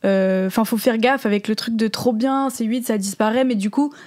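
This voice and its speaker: A woman's voice: a little high, fairly even intonation, moderate pace, darkish tone, dry recording, studio quality. A woman podcast host talking through her thoughts in a casual, hesitant way. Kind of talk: casual chat, scripted show intro.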